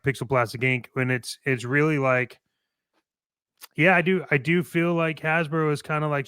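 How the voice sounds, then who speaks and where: A man's voice: mid-range voice, fairly bright tone, clear, resonant tone, no room sound, clean studio sound. A man co-hosting a hobby show, chatting informally and stressing his points by repeating them. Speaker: co-host of a toy collecting show. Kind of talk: casual chat and opinion.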